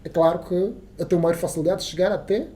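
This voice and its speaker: A man's voice: a little high, fairly even intonation, quick pace, warm tone. A man from Angola whose first language is Kissolongo, speaking Angolan Portuguese as a journalist guest on a podcast, with many fillers and restarts and emphatic turns. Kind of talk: casual podcast interview.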